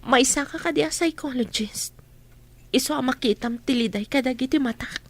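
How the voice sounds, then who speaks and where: Sad young waitress Rita, higher-pitched. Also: female voice, normal speed. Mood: polite, downcast. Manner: sorrowful, polite. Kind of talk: radio drama acting.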